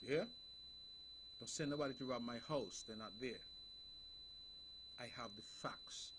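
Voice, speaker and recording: A man's voice: mid-range voice, a little slow, noisy background. A Nevisian man speaking as a politician on the radio, in an emphatic, repetitive style with a preaching cadence.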